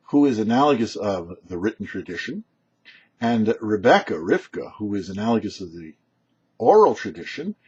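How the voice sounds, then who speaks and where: A man's voice: medium pitch, a little mellow, breathy edge, highs cut off. A man speaking as a rabbi, giving a short explanatory Torah teaching with emphatic, didactic phrasing. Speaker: rabbi hosting a weekly Torah commentary show.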